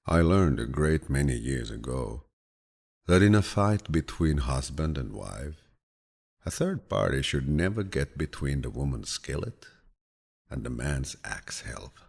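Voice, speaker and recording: This man has an exceptionally deep voice with a heavy gravelly creak and a husky grain. He narrates like a calm grandfather telling stories, measured and soothing. His intonation moves expressively as he reads each saying. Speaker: audiobook narrator. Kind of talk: calm, measured audiobook reading of quotations.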